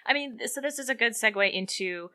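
A woman's voice: on the high side, expressive, fast talker, sharp tone. A woman hosting a podcast, asking long, engaged questions in casual talk. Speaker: podcast host.

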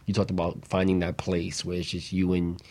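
Deep voiced guy cohosting a chat show, kinda repeats himself as he explains. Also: completely flat delivery, fairly quick, a little mellow, dry recording. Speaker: talk show co-host. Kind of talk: casual group discussion.

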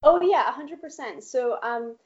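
A Polish-born woman in her thirties tells her story with animated, casual energy, swearing freely and laughing along the way. Her voice sits a little high. The recording is dull and lo-fi. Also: moderate pace. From Poland. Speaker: guest animator. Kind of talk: candid interview storytelling.

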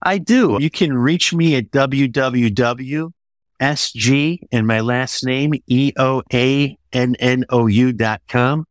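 A man's voice: medium pitch, slow, no room sound, clean studio sound. A man talking as a guest author in an interview, discussing his own work conversationally.

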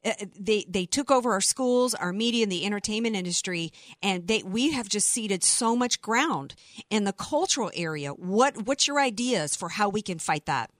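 A woman hosting a political talk show, opinionated and somewhat halting as she puts questions. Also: medium pitch, animated, no room sound, studio quality.

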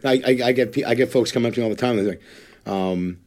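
A man's voice: mid-range voice, fast, a little mellow. A man hosting a podcast, talking casually and rambling with many fillers. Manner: rambling, heavy on fillers like "you know". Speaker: podcast host.

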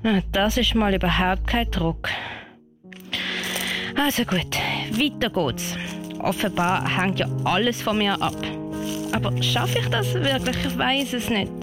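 A woman's voice: mid-range voice, animated, unhurried, long pauses, music in the background, lightly acted. A woman playing an unsure but plucky little wolf girl in an amateur radio play, hesitant and puzzled, with a Swiss German accent. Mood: self-doubting. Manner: puzzled, hesitant, thinking aloud.

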